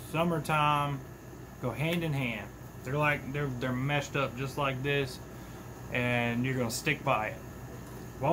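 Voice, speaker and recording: A man's voice: medium pitch, noisy background. A man in his thirties hosting a fishing channel, giving casual, folksy tips to the camera from a small workshop. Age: 30s. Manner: chatty, folksy, animated with hand gestures. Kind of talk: casual talking-head tips.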